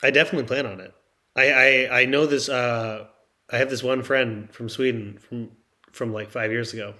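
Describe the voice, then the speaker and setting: A man's voice: mid-range voice, steady tone, moderate pace, pushed and bright. Rambling young man on a handheld mic. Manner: rambling, joking, trails off mid-thought. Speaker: podcast co-host. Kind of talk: casual comedic chat. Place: in France, apart from co-host. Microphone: handheld microphone.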